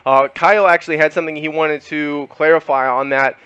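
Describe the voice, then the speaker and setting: A man's voice: fairly high pitch, steady tone, a little fast, a bit bright, clean tone, dry recording, dull audio. A young man hosting a gaming podcast, chatting loosely with many fillers and laughs. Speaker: podcast host. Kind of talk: casual podcast chat.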